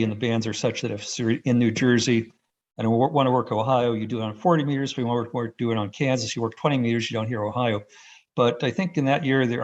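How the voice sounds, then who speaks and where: A man giving an unscripted, rambling first-person account in halting bursts, as a radio hobbyist on a video call. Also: mid-range voice. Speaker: amateur radio contest operator. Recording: dull, band-limited video call audio.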